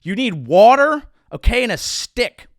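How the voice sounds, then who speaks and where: A man's voice: extremely high pitch, big pitch swings, measured pace, no room sound, clean studio sound. A man in his thirties hosting a comedy show, delivering a sarcastic monologue with mock-outraged rants. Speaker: comedian host.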